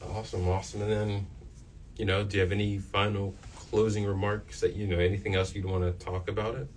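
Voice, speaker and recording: A man's voice: fairly low pitch, level tone, brisk. A man hosting a live interview on student radio. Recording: distant mic with faint noise.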